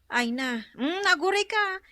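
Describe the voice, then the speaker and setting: A woman's voice: very high voice, expressive, a little slow, sharp tone, no room sound, full character voice. A woman with an Ilocano accent playing a nosy, scolding relative in a comedic radio drama. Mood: scolding, teasing.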